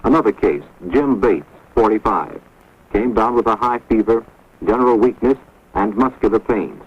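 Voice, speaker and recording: A man giving measured, grave scripted narration for an instructional film, recorded on a dull, lo-fi 1950s film soundtrack. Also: on the low side, slightly rough. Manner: measured, grave, authoritative delivery.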